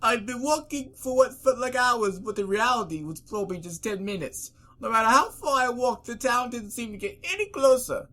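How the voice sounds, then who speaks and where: Someone doing an annoying, high, melodramatic traveller. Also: expressive, moderate pace, few pauses. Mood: weary complaint. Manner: loud, exaggerated, melodramatic. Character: overwrought, put-upon annoying story narrator, wounded traveller.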